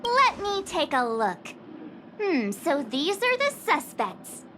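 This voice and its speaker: A bright, lively young companion. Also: female voice, high voice, slow pace, slight background noise, heavily acted. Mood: curious. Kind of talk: game voice acting. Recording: game cutscene with music behind.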